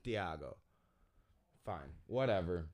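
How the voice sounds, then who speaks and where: A man's voice: deep voice, animated, takes it slowly, darkish tone. A man hosting a casual podcast interview, joking and rambling with his guest in a self-deprecating way. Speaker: podcast host.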